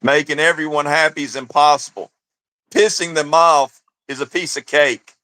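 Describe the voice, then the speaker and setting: A man's voice: a little high, fairly even intonation, normal speed, sharp tone, no echo. A man co-hosting a livestream, chatting bluntly with crude jokes and frequent laughter. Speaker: livestream co-host. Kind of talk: casual joking commentary.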